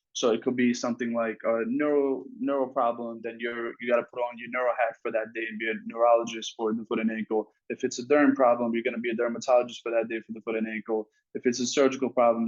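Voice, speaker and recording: A man's voice: medium pitch, dull audio. A young man from Pakistan, a podiatry student, explaining his field earnestly in an interview with some fillers. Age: young adult. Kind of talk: interview answers.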